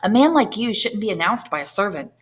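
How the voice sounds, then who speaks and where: A woman reading aloud, voicing an eager, warm young male officer. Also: medium pitch, very animated, brisk, pitched above the performer's own voice, lightly acted.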